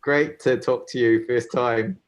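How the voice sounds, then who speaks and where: A man whose first language is Cantonese, chatting as a guest on a video call in a relaxed way, laughing easily and using frequent ums. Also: medium pitch, unhurried. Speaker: guest analyst. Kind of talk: casual video call chat.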